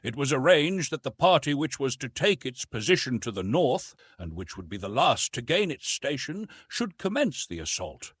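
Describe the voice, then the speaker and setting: A man narrating a classic novel in an expressive, literary storytelling style. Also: medium pitch, normal speed, few pauses, breathy edge, no room sound, clean studio sound. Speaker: audiobook narrator.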